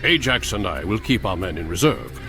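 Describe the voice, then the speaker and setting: A man's voice: low-pitched, sing-song, brisk, heavily acted. An actor playing a proud, lordly ancient warlord king in a game cutscene, declaiming his lines. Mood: confident. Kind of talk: dramatic game cutscene acting. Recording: loud music over the voice.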